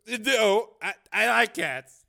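A man's voice: expressive, slow pace, creaky, pushed and bright, exaggerated voice. A man doing a stammering flustered young man. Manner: stammering, nervous, pitched high.

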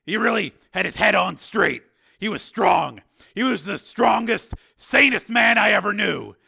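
A man voicing a tough, tormented cyborg man full of bitterness and self-doubt, in a forceful audiobook performance. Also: high voice, animated, a little slow, creaky, breathy edge, voice pushed up, exaggerated voice. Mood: troubled, brooding. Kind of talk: dramatized audiobook dialogue.

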